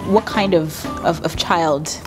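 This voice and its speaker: Young woman TV host, upbeat and quick, lowish voice, music under her. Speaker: TV show host. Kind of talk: entertainment interview hosting. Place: dark television studio set, seated in armchair. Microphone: lapel mic.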